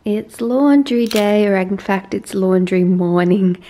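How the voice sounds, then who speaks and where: A young woman in her twenties with an Australian accent, slightly hoarse, chatting scatterbrained and self-mocking as she films an apartment tour on a handheld camera. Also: mid-range voice, slow pace, mellow, a little hiss or hum. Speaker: lifestyle vlogger. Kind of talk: casual apartment tour vlog. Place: small apartment indoors and balcony. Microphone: handheld camera at arm's length.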